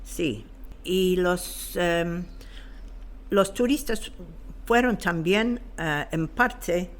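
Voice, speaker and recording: A woman speaks slowly in speech, pausing to search for her words. She sounds like a knowledgeable guest explaining local history off the cuff, in a halting, unscripted way. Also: on the low side.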